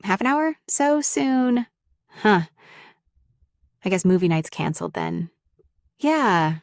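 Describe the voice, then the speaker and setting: Casting: woman to play clingy, jealous young female roommate, lovestruck; lowish register, halting confessional delivery, very dry intimate ASMR sound. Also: darkish tone, no room sound. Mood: disappointed, masking. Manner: halting, confessional, addressing the listener directly. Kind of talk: intimate ASMR roleplay acting.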